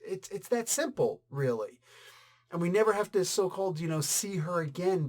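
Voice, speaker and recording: Male review host, voice a little on the high side, chatty and opinionated, punches key words and breaks into asides. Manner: animated, emphatic, conversational with sudden exclamations and asides. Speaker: comic book reviewer and channel host. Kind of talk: casual opinionated review chat.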